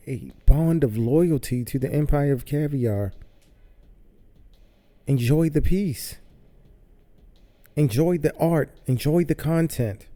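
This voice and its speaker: Mellow-voiced man hosting a solo podcast. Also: on the high side. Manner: loose, rambling, repeats himself. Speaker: podcast host. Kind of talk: casual solo podcast monologue. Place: home studio.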